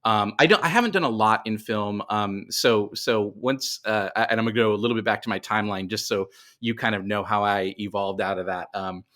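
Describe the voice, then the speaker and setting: A man's voice: lowish voice, a little fast, fairly bright tone. A middle-aged man speaking as a podcast guest, telling his story conversationally and often doubling back on himself as he explains.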